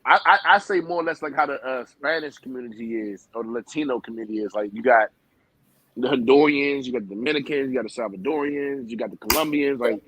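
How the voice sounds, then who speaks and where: A man's voice: mid-range voice, fast, fairly bright tone, a little hiss or hum, low-quality recording. A man talking in an emphatic, slangy way as a co-host of a casual talk show. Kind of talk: casual profane chat.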